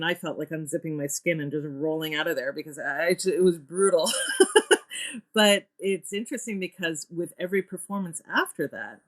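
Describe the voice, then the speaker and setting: A woman speaking as an interview guest about her theatre work, earnest and emphatic as she thinks aloud. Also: deep voice. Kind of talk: reflective interview talk.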